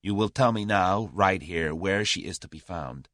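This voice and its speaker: A man's voice: low voice, moderate pace, a bit bright, dry recording. Man doing a drawling brash American tough guy. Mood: taunting, insistent. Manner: drawling, abrupt, dry, free and easy. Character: brash, confident young American millionaire, threatening.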